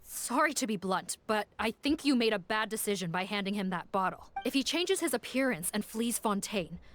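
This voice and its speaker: Woman voicing blunt dutiful female guard for a game, medium pitch, formal. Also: animated, normal speed, faint music behind, close to an everyday voice. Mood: formal, grave. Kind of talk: video game voice acting.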